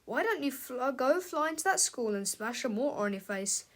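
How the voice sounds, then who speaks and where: Earnest storybook boy, high-pitched, plain and matter-of-fact, voiced by a woman. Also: big pitch swings, normal speed. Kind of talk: children's storybook read-aloud.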